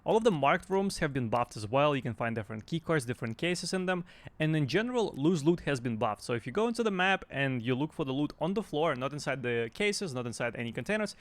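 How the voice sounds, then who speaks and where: A man talking casually and off the cuff as a gaming news host, in a hesitant, halting way. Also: fairly high pitch, expressive, no room sound. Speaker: YouTube game news host.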